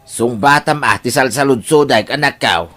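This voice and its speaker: A man's voice: mid-range voice, moderate pace, bright, forceful tone, soft background music, close to an everyday voice. A man playing a jolly, teasing old father in an Ilocano audio drama.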